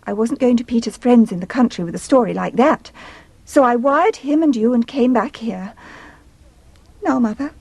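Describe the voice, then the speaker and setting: High, husky, indignant young English wife. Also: female voice, normal speed, a little mellow, no echo. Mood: aggrieved, dramatic. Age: young adult. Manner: dramatic, plaintive, indignant. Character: indignant, distressed young English wife, well-bred daughter. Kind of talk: stage farce acting for radio.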